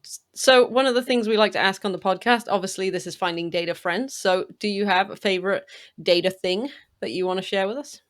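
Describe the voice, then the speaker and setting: A woman's voice: mid-range voice, brisk. A woman hosting a casual podcast, upbeat, keeping her replies short and encouraging. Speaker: podcast host.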